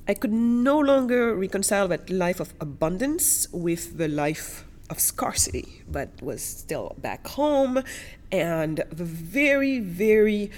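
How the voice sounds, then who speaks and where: A woman's voice: medium pitch, big pitch swings, takes it slowly, a little mellow. A woman from Senegal who later lived in Europe, speaking emphatically as an interview guest and telling personal stories, repeating words for stress. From Senegal, later Germany and France.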